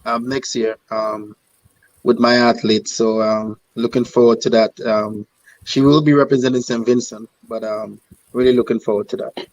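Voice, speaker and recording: A man's voice: mid-range voice, flat delivery, normal speed, a little mellow, rough recording. A man, an athletics coach chatting casually as a guest, often repeating himself in short phrases. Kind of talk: casual chat.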